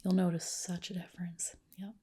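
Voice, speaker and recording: A woman whispering gently and reassuringly in a breathy voice, playing a spa clinician in an ASMR roleplay. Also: on the low side, little pitch movement, normal speed.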